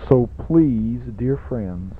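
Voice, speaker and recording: A man's voice: medium pitch, expressive, extremely slow, slight background noise. A man playing a fervent, greedy radio evangelist, preaching grandly and pompously in a parody sermon. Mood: hushed coaxing. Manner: exhortatory, grandiose, pompous, builds from hushed coaxing to shouting. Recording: tinny phone-like 1980s radio recording.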